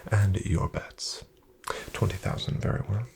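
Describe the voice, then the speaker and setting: A man doing a soft-spoken ASMR roleplay as a courteous casino dealer, breathy and often near a whisper, in formal, measured patter. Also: fairly low pitch, steady tone. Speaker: ASMR performer playing a casino card dealer. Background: card shuffling and clicks.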